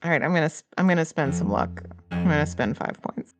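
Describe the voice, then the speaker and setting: A woman's voice: low voice, a little fast. A woman chatting casually as a player in a tabletop role-playing game, speaking informally for her character. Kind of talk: casual improvised game chat.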